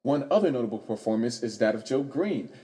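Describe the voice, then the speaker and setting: An actor in a radio play playing an earnest, hesitant young stage actor, recorded with hall-like reverb. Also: male voice, mid-range voice, expressive, warm tone, natural voice. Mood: bashful, earnest. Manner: hesitant, halting.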